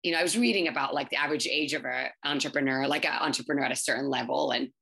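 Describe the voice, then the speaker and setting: A woman in her thirties, English not her first language, giving quick, chatty interview answers full of fillers and restarts. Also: extremely low pitch, creaky, bright, forceful tone, breathy edge. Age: 30s. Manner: fast, filler-heavy, many restarts. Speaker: startup founder.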